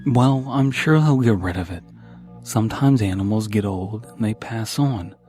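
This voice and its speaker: A man voicing a gentle, coaxing mother reassuring her young child in a narrated horror story. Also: fairly low pitch, moderate pace, close to an everyday voice. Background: background music.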